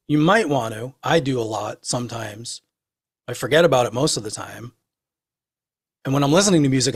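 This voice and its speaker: A man with an average-pitched voice chats about music theory as a co-host. He is reflective and wordy, working his thoughts out aloud in a conversational way. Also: husky.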